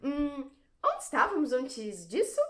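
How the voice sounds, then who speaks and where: A woman's voice: sits high, big pitch swings, slow pace, a bit bright, full character voice. A woman playing a theatrical, mischievous fairy guide in an audio drama, enthusiastic and dramatic. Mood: eager explaining.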